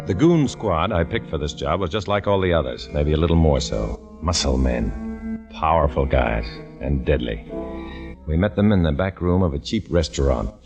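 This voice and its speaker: A man's voice: very deep voice, dull audio, full character voice. An actor playing a tough undercover agent who narrates his own story in a 1950s radio drama, with terse, hard-boiled delivery. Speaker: narrator and lead.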